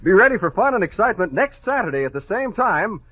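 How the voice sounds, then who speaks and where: Lively husky American radio announcer man. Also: high-pitched, fast talker. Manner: cheery, urging, direct address to young listeners. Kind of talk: 1950s radio announcing for children. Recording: lo-fi vintage 1950s radio broadcast.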